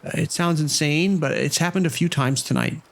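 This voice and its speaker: An actor playing a worried, protective casino manager, speaking urgently in a horror audio drama phone call. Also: male voice, on the high side, normal speed, gravelly. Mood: urgent, alarmed. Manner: urgent, concerned.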